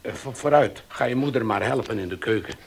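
An actor in a Dutch radio play playing a gentle middle-aged father who calms his family. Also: medium pitch, a little fast, vocal fry, slightly rough. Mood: soothing.

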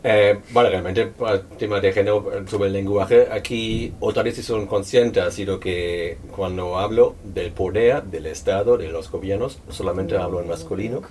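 Very low voice, non-native speaker at a book talk, lots of ums, mic a bit far off with faint room noise. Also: darkish tone. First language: not Spanish. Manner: hesitant, thoughtful, many pauses and fillers. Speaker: author presenting a book. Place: local venue at a public presentation.

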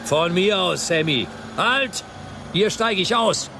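Curt, highish-voiced detective hero. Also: male voice, expressive, slow pace, creaky, heavily acted. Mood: dry. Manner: curt, dry. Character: curt, sceptical hard-boiled male detective hero. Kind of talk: German radio drama acting. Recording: battlefield and engine noise.

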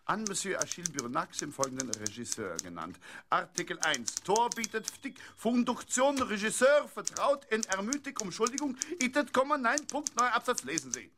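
An actor playing a pompous, indignant radio director who barks orders and dictates in an absurd comic play. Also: male voice, sits high, big pitch swings, moderate pace, bright, forceful tone, a little hiss or hum, heavily acted. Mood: dictating.

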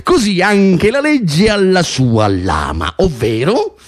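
A man's voice: high voice, sing-song, slow, full character voice. An actor playing a pompous, falsely humble tyrant king in radio theatre, theatrical and unctuous, prodding his people with rhetorical questions. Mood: smug, cajoling. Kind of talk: stage-like radio theatre monologue.

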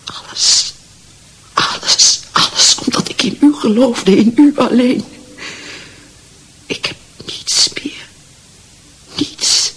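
A woman's voice: fairly high pitch, slow, pauses often, fairly bright tone, breathy edge, exaggerated voice, rough recording. An actress in a radio drama playing a distraught, lovesick married mother, pleading and often near whispering. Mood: anguished, bitter.

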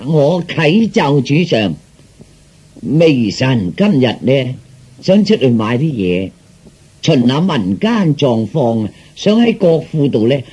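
A man playing a scheming, flattering royal adviser in a comic audio story, speaking formally and ingratiatingly like an orator. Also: mid-range voice, slow, husky, full character voice. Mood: grand, persuasive. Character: scheming, flattering male military adviser to emperor.

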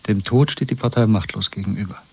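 An actor playing a broken but stubborn prisoner under interrogation in a German radio play, speaking wearily and plainly in a husky voice. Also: male voice, on the low side, fast talker. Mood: quietly defiant.

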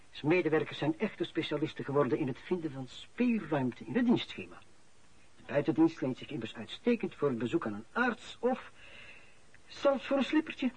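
An actor playing a courteous, sardonic company boss in a radio drama, speaking with measured, ironic pauses. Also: male voice, a little high, breathy edge. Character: smooth-talking sardonic male company boss. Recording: dull lo-fi radio play recording.

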